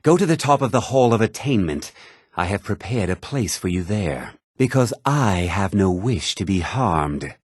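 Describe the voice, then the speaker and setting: An actor playing a learned mage of a magic college in a fantasy video game, speaking in a formal, deliberate, articulate way. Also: male voice, on the low side, animated, darkish tone, slightly rough, dry recording, clean studio sound. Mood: solemn, instructive. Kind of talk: scripted fantasy game dialogue.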